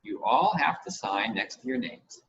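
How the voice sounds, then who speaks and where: A man voicing an eager, commanding boy captain in a storybook, brisk and orderly. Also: mid-range voice, expressive, creaky, a bit bright, pitched below the performer's own voice. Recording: muffled home recording with hiss.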